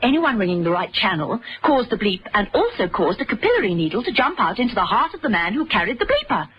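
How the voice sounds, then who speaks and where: An actress playing a spirited, sharp-witted female agent in a radio drama, with a crisp, emphatic delivery. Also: mid-range voice, very animated, fast, full character voice. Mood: cool, curious. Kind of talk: 1970s radio drama acting. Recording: old noisy radio drama recording.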